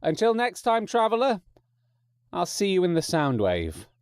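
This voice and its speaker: A man's voice: high-pitched, huge pitch swings, a little slow, over music. A man hosting a podcast, mixing scripted presenting with casual, wry, self-deprecating asides. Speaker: podcast host and audio producer.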